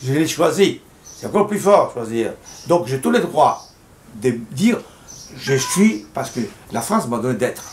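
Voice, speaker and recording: An older man from Italy, a painter speaking French with an Italian accent, who reflects on his life and his paintings in a halting, heartfelt way, stumbling over repeated words. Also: mid-range voice, big pitch swings, a little hiss or hum. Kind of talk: reflective personal monologue. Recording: roomy echo.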